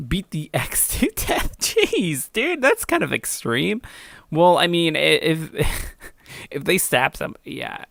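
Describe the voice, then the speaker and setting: Young guy doing casual reaction chat, giggly, bit of fry, dry close sound. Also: fairly high pitch, pushed and bright, no room sound. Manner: giggly, self-deprecating, informal. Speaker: YouTube host reacting to own story.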